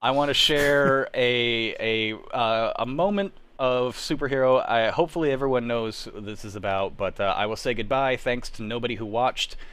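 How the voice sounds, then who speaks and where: A man's voice: medium pitch, normal speed, hardly any pauses, a bit bright, smooth. A man chatting casually on a livestream as host, enthusiastic and opinionated, with some casual swearing. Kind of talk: casual chat about superhero movies. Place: remote group livestream.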